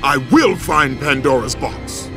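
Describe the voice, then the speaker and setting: A man's voice: medium pitch, very animated, unhurried, over music. A man playing a wrathful, vengeful Greek warrior in a video game, declaiming with grim menace. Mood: furious, defiant. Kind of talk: dramatic video game acting.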